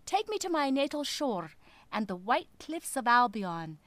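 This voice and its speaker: Woman playing a defiant, high-pitched storybook mariner. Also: big pitch swings, measured pace, a bit bright, dry recording. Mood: defiant, bargaining. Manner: emphatic, declamatory. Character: defiant, resourceful shipwrecked male mariner. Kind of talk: children's storybook character voice.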